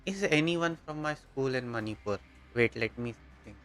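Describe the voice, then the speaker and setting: A man's voice: mid-range voice, normal speed, a bit bright, soft background music. A man with an Indian accent chatting casually with viewers on a gaming livestream, informal and hesitant, often repeating himself.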